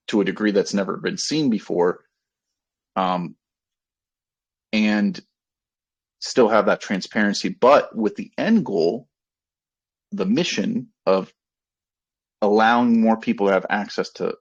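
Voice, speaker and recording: A man in his thirties with a low voice and a heavy creak in it. He speaks as an executive updating investors informally, in a halting, rambling way, often circling back to rephrase. The sound is dull and lo-fi, as on a conference call. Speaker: company executive. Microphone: conference call line.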